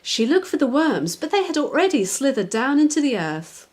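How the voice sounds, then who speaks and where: A woman narrating a children's storybook from a script, expressive and clearly phrased for young listeners. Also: sits high, moderate pace. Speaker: children's author, reader.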